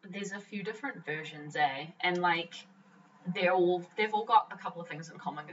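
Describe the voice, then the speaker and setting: A young woman with a New Zealand accent co-hosts a podcast from her home office, upbeat and quick to giggle. Her voice is a little hoarse and sniffly. The recording is dull, with a faint hiss behind her. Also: fairly low pitch, a bit bright, far from the mic. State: slightly hoarse with a runny nose. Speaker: podcast co-host. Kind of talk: casual chat.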